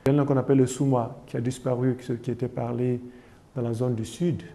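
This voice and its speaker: Emphatic professor with a gravelly voice. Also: male voice, medium pitch, level tone, fast, warm tone. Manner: emphatic, lecturing, lists examples. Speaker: linguistics professor interviewed. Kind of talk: explanatory interview answers. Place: office, indoors.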